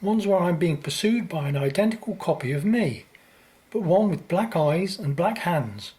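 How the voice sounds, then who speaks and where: Woman acting an anxious, expressive young man. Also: on the low side, normal speed, natural voice. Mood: uneasy. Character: anxious, earnest young man troubled by dreams. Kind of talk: radio play acting.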